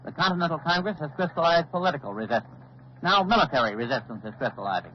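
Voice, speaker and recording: An actor playing a grave, authoritative male news analyst in formal, deliberate 1940s radio commentary. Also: fairly high pitch, big pitch swings. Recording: dull old 1940s radio recording, faint noise.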